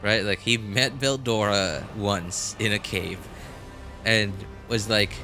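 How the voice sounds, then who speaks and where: Low-pitched, bright-voiced rambling man. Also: steady tone. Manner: rambling, halting, thinking aloud. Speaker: game livestreamer. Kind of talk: casual chat to viewers while gaming. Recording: music playing behind the voice. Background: background music.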